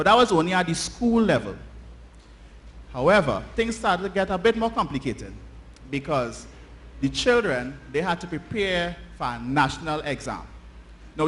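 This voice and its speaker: A Trinidadian man telling a story informally to a church congregation, conversational and checking in with his listeners using short tag questions. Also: a little high. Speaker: church children's story teller. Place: church, speaking to children and congregation. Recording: noisy live church recording, often distant.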